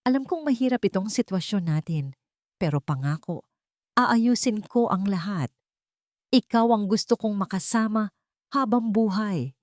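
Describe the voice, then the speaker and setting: A woman's voice: medium pitch, animated, a little slow, darkish tone, no echo, clean studio sound, voice pushed up, natural voice. A woman voicing a devoted, reassuring young rich man in a romance story, earnest and vowing. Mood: tender promise.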